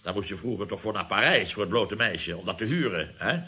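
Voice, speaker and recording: Bombastic old-school boss, sharp-edged. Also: male voice, lowish voice, expressive, fairly quick. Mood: relishing, nostalgic. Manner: pompous, orating, emphatic. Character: bombastic, nostalgic male boss of a building firm. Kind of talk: Dutch radio comedy serial acting.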